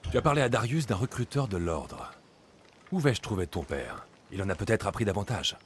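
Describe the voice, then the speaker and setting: A man's voice: lowish voice, big pitch swings, brisk, slight background noise. A man acting a bold, blunt ancient Greek mercenary in a dubbed video game, speaking with confidence. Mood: confident.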